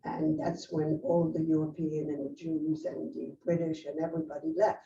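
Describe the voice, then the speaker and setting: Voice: medium pitch, level tone, moderate pace. A speaker from Egypt in an in-person film discussion, talking hesitantly and searching for words. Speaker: discussion participant. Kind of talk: casual group discussion. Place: meeting room with an in-person group. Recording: echoey, distant, dull room recording with faint noise.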